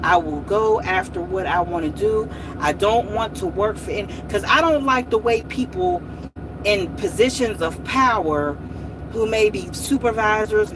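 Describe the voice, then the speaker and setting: A woman's voice: mid-range voice, noisy background. A woman in her late forties hosting a motivational vlog, speaking in a repetitive, encouraging way and often saying y'all. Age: 40s. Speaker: host.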